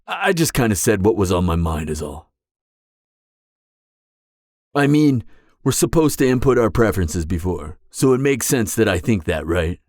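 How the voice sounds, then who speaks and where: A man plays an awkward, earnest supervillain in a conversational audio roleplay. His voice is low and recorded close and dry. He stammers a little but stays matter-of-fact and by the book. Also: very animated, normal speed, pauses often, darkish tone, no room sound. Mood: placating, defensive.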